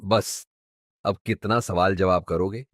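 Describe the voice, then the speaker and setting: A man's voice: deep voice, steady tone, slow, very noisy. A man playing a sarcastic travelling merchant in a cartoon story, haggling with mocking, exaggerated comic delivery. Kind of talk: Hindi cartoon story dubbing.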